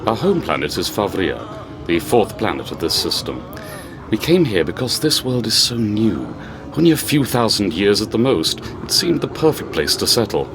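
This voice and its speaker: A man's voice: on the low side, sing-song, normal speed, background noise. An actor playing a proud, protective alien colonist and father in an audio drama, speaking formally and earnestly.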